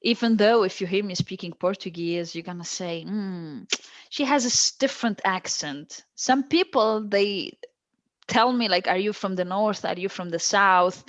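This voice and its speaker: A woman's voice: mid-range voice, moderate pace, fairly bright tone, no echo. A woman, a guest who answers with emphatic, repeated phrases. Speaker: guest, stateless-rights advocate. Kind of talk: earnest interview answers.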